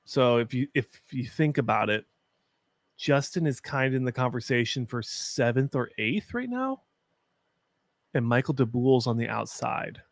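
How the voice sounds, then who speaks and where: Chatty deep-voiced man streaming. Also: lively intonation, normal speed, no echo. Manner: chatty, opinionated, frequent fillers. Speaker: watch party streamer. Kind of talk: casual live-stream commentary.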